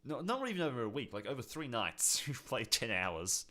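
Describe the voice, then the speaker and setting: A man's voice: medium pitch, very animated, normal speed, pushed and bright. A man co-hosting a podcast, chatting casually about video games in a rambling way that often doubles back on itself. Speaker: podcast co-host.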